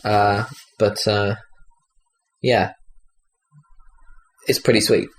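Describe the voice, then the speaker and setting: A man with a fairly low voice talks in a loose, casual podcast style about games. He rambles, hesitates and swears freely. There is clear noise on the recording. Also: level tone, extremely slow. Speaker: podcast host. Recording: clearly noisy background.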